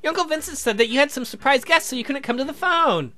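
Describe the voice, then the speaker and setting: A sweet, eager young woman acted out by a man in a visual novel. The voice is high and lilting, with lots of movement and a coy, pleading edge. Faint music plays behind it. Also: animated, fast talker, pushed and bright, heavily acted. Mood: sweet, apologetic.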